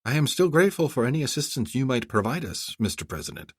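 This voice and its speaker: A composed, imperious princess, acted by a male narrator. She speaks formally and proudly, in a raised pitch with lively movement, as in a thriller audiobook. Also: quick pace, husky, dry recording, studio quality. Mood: formal, polite.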